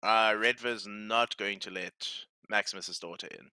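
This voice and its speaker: A man's voice: lowish voice, fairly even intonation, unhurried, sharp tone, smooth, dry recording. A man running a tabletop roleplaying game, narrating and ruling casually as game master.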